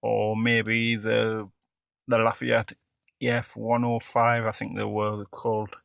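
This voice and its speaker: Male hobbyist presenter, casual demo. Creaky, bright-edged voice, matter-of-fact and halting delivery. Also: fairly low pitch, completely flat delivery, dry recording, highs cut off. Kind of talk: casual product demonstration.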